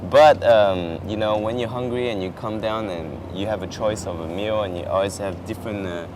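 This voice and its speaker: A young man, French by birth, talks easily on a campus lawn in a slightly low voice, stumbling through fillers and restarting his sentences. Traffic hums clearly in the background, picked up by a camera set some distance away. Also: fairly even intonation, normal speed. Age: young adult. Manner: chatty, many fillers and restarts. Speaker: exchange student. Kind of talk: casual chat. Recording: outdoor traffic noise behind.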